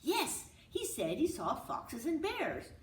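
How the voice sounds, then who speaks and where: A woman acts a curious young boy from a picture book. He is eager and questioning, pitched up and swinging widely, in a roomy recording with some hiss. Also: wildly swooping pitch, slow pace, full character voice. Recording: roomy, distant mic with hiss.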